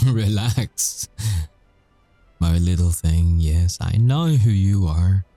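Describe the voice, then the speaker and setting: A man playing a sardonic, volatile supernatural prisoner in an audio roleplay, mocking and bitter, swearing and taunting with dark chuckles. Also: deep voice, expressive, extremely slow, no room sound, faint music behind, heavily acted. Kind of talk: audio roleplay ASMR acting.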